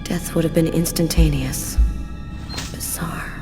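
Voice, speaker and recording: An actress playing a serious, methodical police detective in a matter-of-fact way for a video game drama. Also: female voice, sits low, steady tone, measured pace, in a large hall, music in the background. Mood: analytical, curious.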